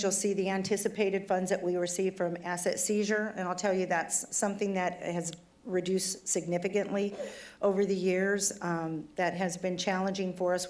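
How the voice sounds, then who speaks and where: A woman police chief giving a formal presentation to a city council, with a voice that is going hoarse as she speaks in a plain, conversational way. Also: fairly low pitch, a little mellow. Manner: conversational and plainspoken, some fillers. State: losing her voice, throat trouble.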